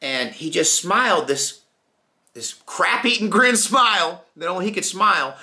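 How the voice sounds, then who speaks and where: A middle-aged American man telling personal stories informally, in a folksy, reflective manner with unhurried pauses. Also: sits high, lively intonation, pushed and bright. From Texas, United States. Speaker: storyteller, channel host.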